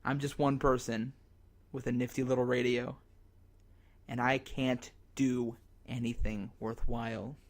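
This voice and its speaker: Despairing male survivor broadcasting on ham radio , played by a man, mid-pitched, halting and dramatic, for a zombie radio drama. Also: flat delivery, lightly acted. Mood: despairing, agitated. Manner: halting, dramatic, broken into short phrases.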